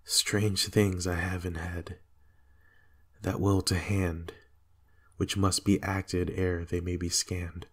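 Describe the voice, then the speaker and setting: A man playing a guilt-haunted, fearful and raging king in a Shakespearean dramatic reading, with a husky voice. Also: deep voice, little pitch movement, slow. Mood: grim, brooding. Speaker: amateur reader in a dramatic reading.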